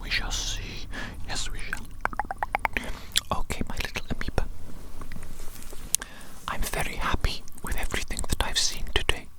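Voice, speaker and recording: A performer voicing a doting evil doctor in a breathy whisper, cooing slow, repetitive praise in an ASMR medical roleplay. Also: natural voice, rough recording. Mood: tender, reassuring. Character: doting evil doctor growing a tiny creature.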